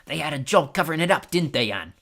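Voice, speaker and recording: A reader voicing Stan, a chatty young male bus conductor who gossips loudly in working-class London speech. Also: medium pitch, very animated, fast talker. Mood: excited gossip.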